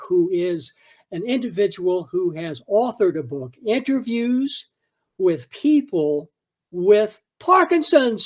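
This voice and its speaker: A man hosting an interview, introducing a guest in a formal, courteous way. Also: high voice. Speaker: host.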